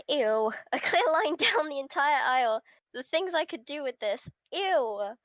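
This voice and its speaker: Lively, high-voiced woman reading aloud. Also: unhurried, dry recording. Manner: giggly, breaking from the script to react. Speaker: streamer reading a visual novel aloud. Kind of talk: live stream read-aloud with casual asides.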